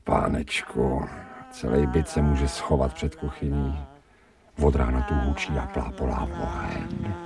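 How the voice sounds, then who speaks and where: A man's voice: very deep voice, lively intonation, creaky, a crowd in the background, heavily acted. A man playing a smug, gluttonous pet dog in a radio play, savouring his thoughts and murmuring with relish. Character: smug, gluttonous male stray dog turned pampered pet. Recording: distant-miked radio production with music.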